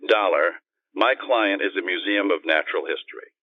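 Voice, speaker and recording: A man's voice: sits low, normal speed. A man playing a jaunty, teasing private detective in an old-time radio drama, heard through a telephone filter. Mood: breezy. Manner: playful, teasing. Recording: thin, dry phone-line sound.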